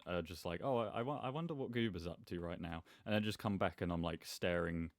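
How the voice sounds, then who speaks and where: A man's voice: sits low, a little fast, no echo, clean studio sound. A man giving casual, rambling gameplay commentary as host, with a self-deprecating manner. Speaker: gaming video host.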